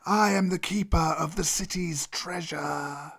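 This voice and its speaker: Old male cobra, storybook villain, very slow drawl, raised pitch, proud, menacing, ominous. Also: vocal fry, a bit bright, husky, heavily acted. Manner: slow, drawn-out, ominous delivery. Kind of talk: storybook character voice.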